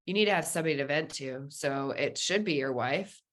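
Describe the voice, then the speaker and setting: A woman's voice: deep voice, brisk, fairly bright tone. A woman speaking as a podcast guest in a casual interview, explaining things candidly and informally. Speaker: podcast guest, police dispatcher.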